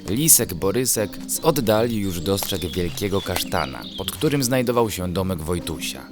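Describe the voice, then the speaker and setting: A man tells a children's bedtime story in a very low voice. His narration is gentle, measured and descriptive, with music playing clearly behind him. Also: big pitch swings.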